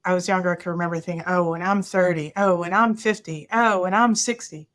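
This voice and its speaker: A woman's voice: lowish voice, steady tone, a little fast, fairly bright tone. A woman in her fifties answering interview questions over a video call from an office, thoughtful and earnest, restarting her sentences often with many ums. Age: 50s. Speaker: guest. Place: office with a large window.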